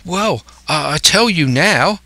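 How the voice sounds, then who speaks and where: A man's voice: on the high side, expressive, slow, creaky, pushed and bright, no room sound, voice pushed up, full character voice. A man voicing an eager, easily swayed young sailor lad with earnest, youthful speech.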